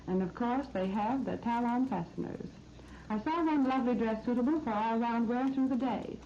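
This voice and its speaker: A 1930s American woman reads a scripted shopping feature for radio. Her voice sits fairly high and her delivery is polished and promotional. The old recording is muffled, with faint noise underneath. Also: moderate pace, dark tone. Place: radio studio. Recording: muffled, noisy 1939 radio disc recording.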